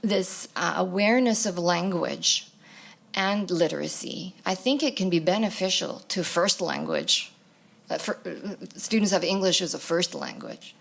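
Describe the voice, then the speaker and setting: Low gravelly-voiced woman academic. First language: Greek. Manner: thoughtful, searching for words, frequent "right?" tags. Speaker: academic panelist. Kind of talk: answering questions at a symposium panel. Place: university lecture hall.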